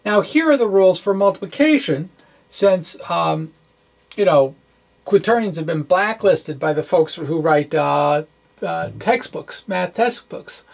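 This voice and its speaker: A science blogger gives a dramatic reading of technical writing at a very slow, measured pace. The pitch sits in a middle range, and the phrasing is deliberate and theatrical, loosening into casual, informal asides. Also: expressive, vocal fry. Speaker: science blogger reading own blog aloud.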